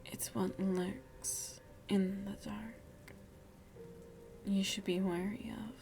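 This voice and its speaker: A woman playing a seductive, motherly vampire in an ASMR roleplay, speaking in a hushed, breathy, coaxing way with quiet menace, close to the mic. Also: medium pitch, flat delivery, slow pace. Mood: ominous, intriguing. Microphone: close microphone.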